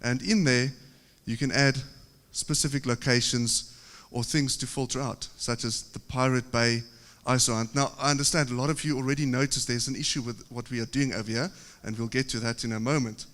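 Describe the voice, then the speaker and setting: South African man presenting in a hall. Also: mid-range voice. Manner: steady explanatory delivery, occasional filler pauses. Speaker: conference presenter. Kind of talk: technical conference talk. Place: large conference hall. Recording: reverberant conference hall.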